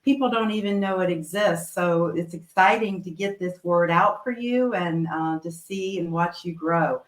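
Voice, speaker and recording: A woman hosting a podcast interview, encouraging and affirming her guest. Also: fairly low pitch. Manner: encouraging, affirming, quick to laugh along. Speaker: podcast host. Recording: roomy echo.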